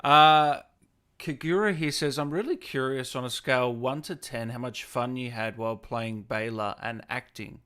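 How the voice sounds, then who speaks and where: Man, bright crisp-edged voice, interviewer, casual chatty questions, informal gaming show host. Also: medium pitch, moderate pace. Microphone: video call.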